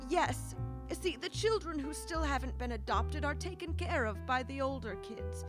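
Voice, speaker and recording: A woman's voice: high voice, lively intonation, moderate pace, over music. A woman playing an earnest, flustered nun who runs an orphanage, explaining hesitantly in a comic radio play. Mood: earnest, worried.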